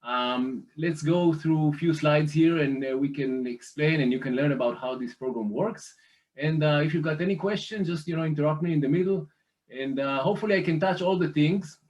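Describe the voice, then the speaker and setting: A man from Israel with an Israeli accent, a guest walking listeners through a lending program on slides, speaking haltingly with many fillers and restarts. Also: a little high, darkish tone, far from the mic, muffled recording. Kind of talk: slide presentation over a call.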